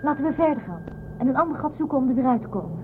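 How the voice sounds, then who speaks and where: A woman playing a bold, lively space crew member in a science fiction audio drama. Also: sits high, rapid-fire, slight background noise. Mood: alert, curious. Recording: narrow lo-fi radio drama with music.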